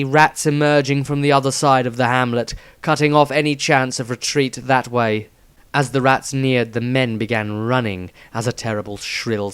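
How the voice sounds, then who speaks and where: Guy reading a fantasy tale, smooth clear voice, dry close sound, dramatic storyteller. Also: mid-range voice, no room sound. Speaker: author reading own story. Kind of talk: scripted fantasy narration.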